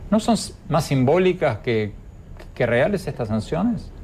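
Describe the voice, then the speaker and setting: A man anchoring a news program, presenting a report and putting measured questions to a guest. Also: on the high side, big pitch swings, a little mellow, a little hiss or hum.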